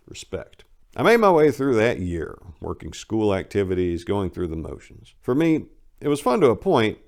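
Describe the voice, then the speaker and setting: A man's voice: on the low side, a little mellow. An American man giving a dramatic reading of a comic first-person memoir in a wry, self-deprecating, conversational way. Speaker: memoir narrator.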